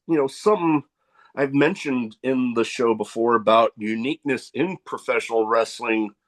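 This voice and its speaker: A man hosting a podcast interview, agreeable and hesitant, with many pauses and fillers. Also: medium pitch. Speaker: podcast host.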